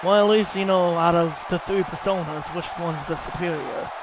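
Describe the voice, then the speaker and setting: Loud high-voiced man calling a game match. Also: moderate pace. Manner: loud, exclaiming, swears at big moves. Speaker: gamer commentating his own wrestling video game match. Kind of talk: excitable casual play-by-play commentary. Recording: loud game crowd and noise behind.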